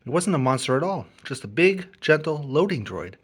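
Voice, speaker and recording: A man narrating a children's story as an expressive read-along. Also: a little high, normal speed, husky. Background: music and sound effects.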